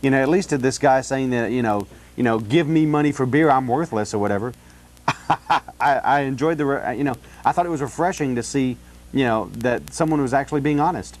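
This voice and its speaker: Man, American accent, mid-pitched voice, rambling and halting, lots of "you know", casual phone call. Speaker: city council candidate on a phone call. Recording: telephone call.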